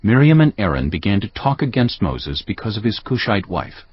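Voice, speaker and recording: A man narrating scripture from a script in a formal, measured storytelling manner. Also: on the low side, big pitch swings, slightly rough, dull audio. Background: music.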